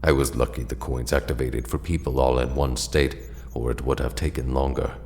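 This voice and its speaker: A man playing a solemn, formal ancient ally in an audio drama, speaking in a measured, deliberate way. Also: very deep voice, fairly even intonation, darkish tone, long reverb tail, a little hiss or hum.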